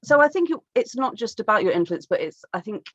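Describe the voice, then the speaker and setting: A woman's voice: fairly high pitch, big pitch swings, fast, fairly bright tone, no room sound. A woman co-hosting a podcast from home, reading listener questions and teasing her co-host in wry, chatty talk. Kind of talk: casual chat. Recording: dull, dry remote call audio.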